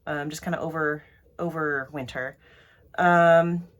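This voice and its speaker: A woman's voice: sits low, fairly even intonation, slow pace. A woman vlogging in a rambling, casual way, sounding throaty and congested from allergies, with lots of ums. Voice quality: throaty, froggy. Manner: rambly, many ums and restarts.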